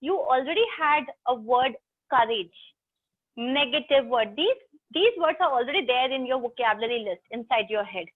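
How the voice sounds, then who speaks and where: A woman's voice: high-pitched, expressive, normal speed. A woman from South India with an Indian English accent, teaching an online English class in a chatty, teasing way and talking straight to her students. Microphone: video call. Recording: tinny video-call audio.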